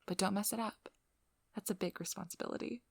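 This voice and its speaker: A woman playing a devious, vigilant vampire hunter in a scripted ASMR roleplay, teasing and controlling, with a breathy voice that drops into whispers. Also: medium pitch, fairly even intonation, fairly quick, no echo. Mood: cool, probing. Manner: teasing, playful, coolly controlling. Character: devious, vigilant female vampire hunter, flirty then businesslike. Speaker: audio roleplay performer.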